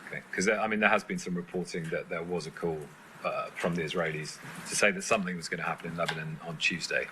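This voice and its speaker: Low-voiced male reporter, noisy briefing room. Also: fairly even intonation. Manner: halting, persistent follow-up questions. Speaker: reporter questioning a government spokesman. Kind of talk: press briefing questioning. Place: indoor press briefing room. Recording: clear background noise, room ambience.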